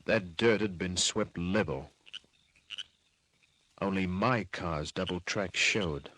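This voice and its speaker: Hardboiled male private eye, Australian, deep and husky, terse wisecracking narration for vintage radio crime serial. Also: takes it slowly, pushed and bright, no echo, exaggerated voice. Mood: terse, wary. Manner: terse, clipped, slangy delivery. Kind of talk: old-time radio crime drama, first-person narration.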